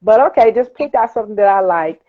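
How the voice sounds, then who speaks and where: A woman's voice: mid-range voice, fast talker. A woman talking as an interview guest, explaining her own work in an emphatic, reflective, conversational way. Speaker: guest, playwright. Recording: tinny phone-like call audio.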